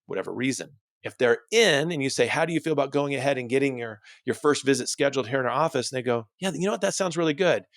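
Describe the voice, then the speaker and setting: A man's voice: medium pitch, animated, fast talker, creaky, few pauses, dry recording, studio quality. A man giving a motivational coaching talk as host, instructing with emphasis and rhetorical questions. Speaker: dental practice coach and host.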